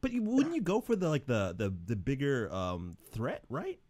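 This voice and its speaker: A man's voice: mid-range voice, huge pitch swings, normal speed, a little mellow. A young man chatting casually about an episode in a laid-back, easygoing way. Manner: laid-back, says dude a lot. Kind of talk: casual review chat.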